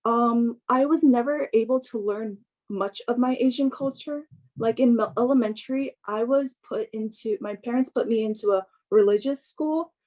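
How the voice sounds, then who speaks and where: A young woman giving an informal personal talk in a hesitant, halting way, often filling in with "like". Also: a little high, darkish tone. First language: English. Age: young adult.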